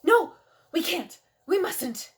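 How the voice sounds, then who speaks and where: A woman voicing an excitable, mischievous little monster from a picture book, playful and exaggerated. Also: extremely high pitch, slow pace, pitched above the performer's own voice, heavily acted. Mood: tempted, torn. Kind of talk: animated children's storybook reading.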